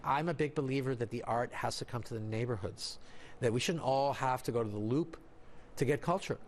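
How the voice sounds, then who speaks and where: A man's voice: medium pitch, a little fast, a little hiss or hum. A man from Mexico whose first language is Spanish, speaking earnestly and reflectively in an interview. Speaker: magician and physician, interviewee. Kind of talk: interview soundbites.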